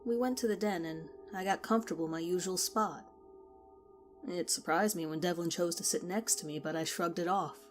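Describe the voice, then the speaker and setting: A woman's voice: fairly low pitch, brisk. A woman reading a scripted first-person horror story as narrator. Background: music.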